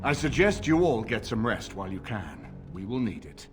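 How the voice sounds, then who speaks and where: A man playing a dignified older nobleman in a fantasy game, formal, measured and authoritative. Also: on the low side, very animated, music in the background. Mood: reflective.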